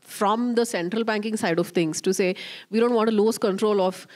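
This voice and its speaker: A woman's voice: mid-range voice, normal speed, darkish tone. A woman in her thirties moderating a conference panel, explaining at length with many fillers, into a handheld mic. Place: conference stage, indoors. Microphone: handheld microphone.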